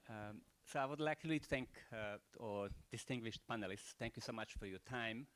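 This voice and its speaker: A man's voice: mid-range voice, a little fast, fairly bright tone, a little hiss or hum. An older man with a Croatian accent giving rambling, unscripted closing remarks at a panel event, full of rhetorical questions and "you know".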